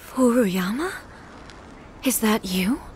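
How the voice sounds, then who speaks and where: An actress playing a stoic female shogun in a video game, formal, composed and solemn. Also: mid-range voice. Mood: startled. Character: stoic, dignified female shogun warrior ruler. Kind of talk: anime-style video game voice acting.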